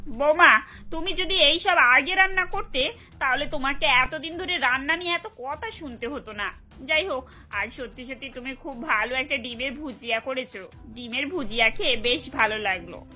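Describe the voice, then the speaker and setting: A woman's voice: high-pitched, normal speed, pushed and bright, soft background music. A woman voicing a bossy older mother-in-law in a Bengali cartoon, scolding and fussing over her grown sons. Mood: pleased. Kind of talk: cartoon voice acting.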